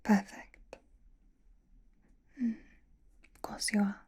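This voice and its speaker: A woman voicing a doting, possessive, elegant vampire in an intimate, coaxing, breathy whisper for an ASMR roleplay. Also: a little mellow. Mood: reassuring. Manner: intimate, coaxing, slow and genteel.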